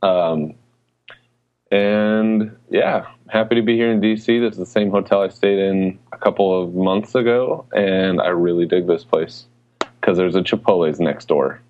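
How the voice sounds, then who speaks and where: A man's voice: deep voice, fairly even intonation, unhurried, lo-fi sound. A man co-hosting a podcast in casual, slangy, emphatic chat about everyday life and games. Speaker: podcast co-host.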